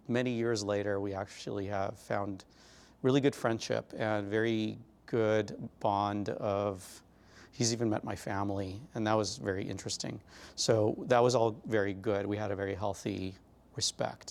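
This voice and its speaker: A middle-aged man from Glendale, California, reflecting on his life in an oral history interview, speaking in a measured way with pauses and fillers. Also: fairly low pitch, creaky.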